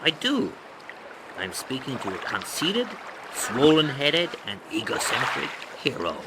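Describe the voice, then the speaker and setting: A man's voice: medium pitch, very animated, unhurried, very noisy. An actor playing a sly, mocking old male ferryman who gives dry, clipped answers in a game. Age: older. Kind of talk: game voice acting.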